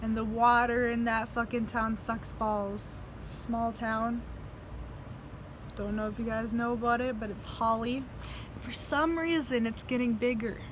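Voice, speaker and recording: Young woman vlogger, fairly high voice, slow and rambling, profane, laughs often; faint vehicle noise behind. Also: steady tone. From United States. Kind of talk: casual video diary storytelling.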